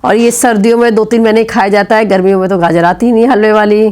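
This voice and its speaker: A woman's voice: medium pitch, steady tone, quick pace, a little mellow. A middle-aged woman vlogging at home with a sore throat and fever, chatting about her cooking as she works in the kitchen. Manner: chatty, explaining chores as she goes. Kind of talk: casual home vlog narration.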